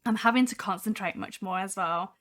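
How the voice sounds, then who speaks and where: A young woman hosting a casual software demo livestream, talking from home with a voice made husky by a cold, chatty with many ums. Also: medium pitch, a little fast. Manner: chatty, many ums, reads chat aloud. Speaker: livestream host.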